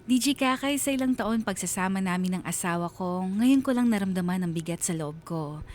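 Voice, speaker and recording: A woman's voice: mid-range voice, animated, a little fast, darkish tone, a little hiss or hum. A woman radio host reading a listener's letter as heartfelt, dramatic scripted narration.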